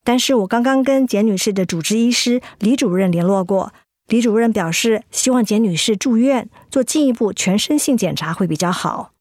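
An actress playing a calm, professional hospital doctor in a radio drama, measured and reassuring. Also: female voice, a little high, no room sound, studio quality, natural voice.

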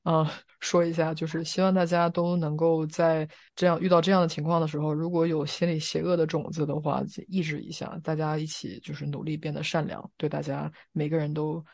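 Low-voiced woman chatting, fairly even tone. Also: a little fast, few pauses, no echo. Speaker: podcast co-host. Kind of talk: casual podcast chat.

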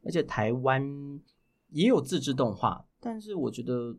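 A man's voice: mid-range voice, sing-song, moderate pace. A man with a Taiwanese Mandarin accent talking casually as a podcast guest, explaining things and often repeating words for emphasis. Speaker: guest, voice actor and children's audio drama writer.

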